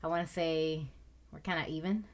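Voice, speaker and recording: A thirty-year-old woman from Puerto Rico, a guest in a casual interview, loud and talkative. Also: sits low, flat delivery, brisk. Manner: loud, talkative, swears and laughs often.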